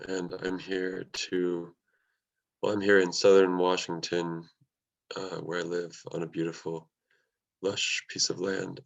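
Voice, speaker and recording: A man introducing himself as a ceremony presenter, speaking softly with pauses over a video call. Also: low voice, gravelly, lo-fi sound. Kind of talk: halting self-introduction.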